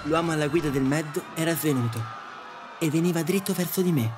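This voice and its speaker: Un attore che interpreta un passeggero malinconico e riflessivo. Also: male voice, fairly high pitch, fairly quick, music in the background, close to an everyday voice. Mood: reflective. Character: wistful male passenger in the afterlife, longing for love. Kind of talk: audio drama narration and dialogue.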